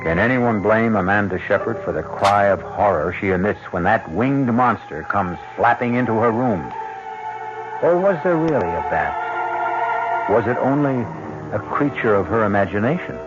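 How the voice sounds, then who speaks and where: An actor playing an ominous storyteller, narrating an old-time radio mystery in a theatrical, deliberate, rhetorical manner. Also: male voice, on the low side, lively intonation, close to an everyday voice. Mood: ominous, suspenseful. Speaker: host narrator. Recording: dull vintage radio recording with music.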